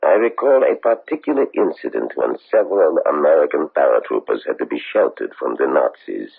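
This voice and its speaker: An actor playing an insistent middle-aged investigator, formal and halting, in a radio mystery. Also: male voice, a bass voice, very animated, exaggerated voice. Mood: quietly menacing. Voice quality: gravelly, husky. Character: insistent middle-aged European male investigator, coolly courteous and menacing. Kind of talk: 1970s radio mystery acting. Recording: tinny, band-limited old radio broadcast.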